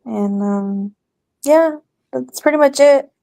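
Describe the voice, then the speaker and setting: A woman co-hosts a community chat show in a smooth, clear voice, pitched a little high. She talks slowly and upbeat. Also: no echo, studio quality. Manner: warm, upbeat, unhurried. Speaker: co-host. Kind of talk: casual chat.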